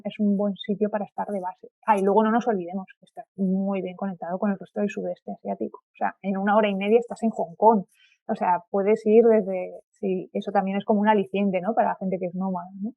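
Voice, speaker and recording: A woman's voice: medium pitch, level tone, fast, vocal fry, dry recording, narrow, tinny audio. A woman with a Castilian accent chatting casually as a guest, informal and rambling, over thin call audio. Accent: Castilian Spanish. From Spain. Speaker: podcast guest. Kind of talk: casual chat.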